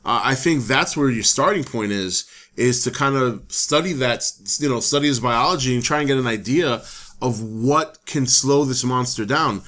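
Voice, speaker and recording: A man with a hoarse voice hosting his own talk show, thinking aloud in a casual, rambling way. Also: medium pitch, moderate pace, a bit bright. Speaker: host. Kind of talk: casual solo talk to camera.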